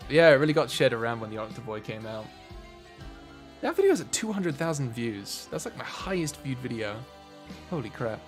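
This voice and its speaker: A man hosting a livestream, chatting casually with viewers in an animated, joking, sweary way. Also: mid-range voice, brisk, faint music behind. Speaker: livestream host, voice actor. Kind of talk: casual chat with viewers, reading comments.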